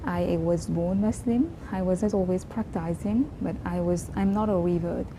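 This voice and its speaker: A woman in her twenties from Mauritius, whose first language is Creole, talking earnestly and reflectively in a personal vlog, pausing and restarting often. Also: fairly low pitch, background noise. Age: 20s. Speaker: vlogger. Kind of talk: casual personal vlog talk.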